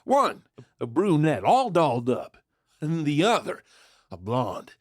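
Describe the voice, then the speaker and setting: A man's voice: fairly high pitch, wildly swooping pitch, takes it slowly, a little hiss or hum, full character voice. A man playing a sly, cocky Old West outlaw who narrates his own tale in a folksy, teasing, conversational way. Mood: sly, confident. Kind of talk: first-person storytelling narration in audio drama. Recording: loud music under the voice.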